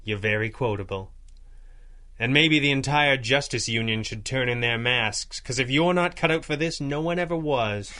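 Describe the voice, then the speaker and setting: A man playing a confident, wry masked vigilante boss in a 1930s-style radio drama, measured and articulate. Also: mid-range voice, sharp tone, natural voice. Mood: wry, reassuring.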